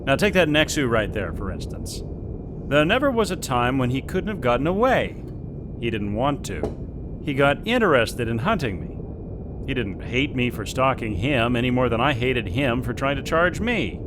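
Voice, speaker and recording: An actor playing a confident, easygoing mercenary hunter in an audio drama, talking in a casual, self-assured way. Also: male voice, moderate pace, natural voice. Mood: assured, argumentative. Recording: steady engine rumble behind the voice.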